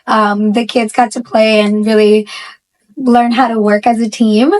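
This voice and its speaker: Upbeat new teacher, woman, chatty with lots of ums, slightly high voice. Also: level tone, a little slow, a little mellow. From Bangladesh, raised in the Bronx. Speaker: history teacher. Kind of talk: casual school interview.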